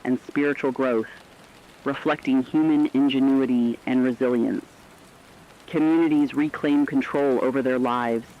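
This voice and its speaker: Actor as male radio co-anchor, mid-pitched, earnest, formal, scripted report, muffled lo-fi sound. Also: lightly acted. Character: earnest correspondent on an end-of-the-world radio broadcast. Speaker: co-anchor in a fictional radio broadcast. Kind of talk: scripted radio news narration.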